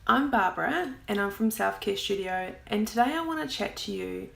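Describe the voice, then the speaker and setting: A woman's voice: medium pitch, expressive, normal speed, creaky. A woman in her forties with a New Zealand accent, a wellness coach talking straight to the viewer in an encouraging, chatty way. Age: 40s. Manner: warm, encouraging, chatty with frequent "like" and "um". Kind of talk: direct-to-camera chat.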